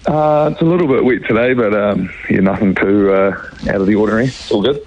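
Casting: New Zealand man, thirties, croaky and low, hesitant rugby player, muffled phone line. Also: darkish tone. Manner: halting, many fillers. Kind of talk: radio phone interview.

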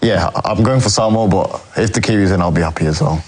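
A young man, answering interview questions in a halting way, full of ums and restarts. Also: low voice, a little mellow, husky. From England. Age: young adult. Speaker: footballer guest.

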